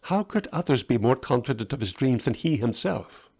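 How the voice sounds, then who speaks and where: A man narrates a short story as its author, reading from a prepared text. His voice sits at an ordinary middle pitch for a man. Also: big pitch swings, moderate pace, creaky, darkish tone, husky.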